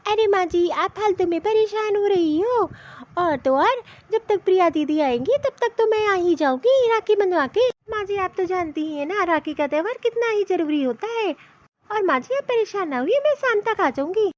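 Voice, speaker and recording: Scheming cartoon daughter-in-law, very high voice by a woman. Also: expressive, moderate pace, dull audio. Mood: coaxing, evasive. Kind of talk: Hindi comedy cartoon story dialogue.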